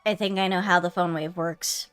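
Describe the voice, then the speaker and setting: A woman's voice: lowish voice, fairly even intonation, normal speed, fairly bright tone, clear, resonant tone, faint music behind, lightly acted. Woman reading as a grave young female scientist. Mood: grave, critical.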